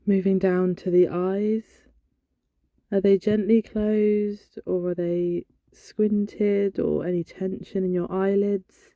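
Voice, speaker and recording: A woman leading a guided body scan meditation in a gentle, soothing, instructional manner. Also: medium pitch, little pitch movement, takes it slowly. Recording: dull, lo-fi, roomy echo.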